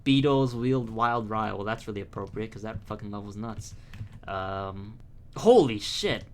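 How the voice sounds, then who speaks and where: A man's voice: on the low side, a little slow. A young man from the Philippines giving casual, rambling, profane gameplay commentary full of tangents. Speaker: gaming YouTuber.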